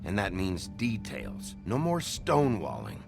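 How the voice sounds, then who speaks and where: A man playing a weary, gruff, blunt fairytale investigator in a noir video game. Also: deep voice, huge pitch swings, unhurried, over music, exaggerated voice. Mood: firm, pressing. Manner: blunt, dry.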